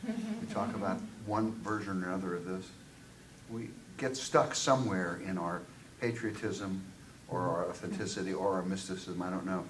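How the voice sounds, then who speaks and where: Male audience member, low voice picked up from afar in a noisy room, discursive and full of asides. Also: moderate pace. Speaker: audience member, college tutor. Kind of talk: commenting from the floor at a seminar. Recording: distant mic, noisy room.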